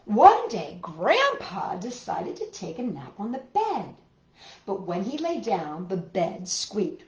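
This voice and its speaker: A woman's voice: medium pitch, huge pitch swings, slow. A woman hosting a children's story time, reading aloud in simple phrases with dramatic emphasis. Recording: roomy, dull lo-fi home recording.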